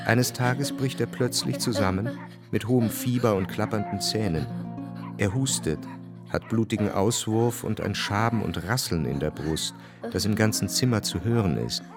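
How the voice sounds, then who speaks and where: A man narrating a radio drama in a measured, matter-of-fact literary style. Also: fairly low pitch, close to an everyday voice. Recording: music behind the voice.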